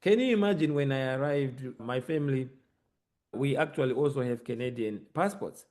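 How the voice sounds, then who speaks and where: A man's voice: mid-range voice, animated, moderate pace, a little mellow. A man with a Zimbabwean accent hosting a conversational podcast discussion about everyday matters. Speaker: host.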